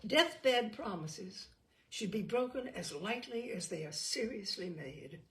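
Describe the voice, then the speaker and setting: A woman reading a literary short story aloud expressively, with deliberate dramatic emphasis and pauses. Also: mid-range voice, animated. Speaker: storyteller, audiobook reader.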